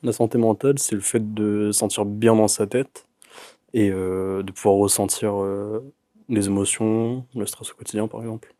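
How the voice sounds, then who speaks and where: A young man of nineteen, a guest on a youth panel, answering in a thoughtful, measured way. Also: on the low side, steady tone, warm tone, no room sound, clean studio sound. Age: young adult. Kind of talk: casual discussion.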